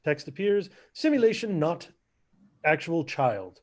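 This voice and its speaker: A man narrating stage directions in a scripted table read, matter-of-fact and a little halting. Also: fairly high pitch, very animated.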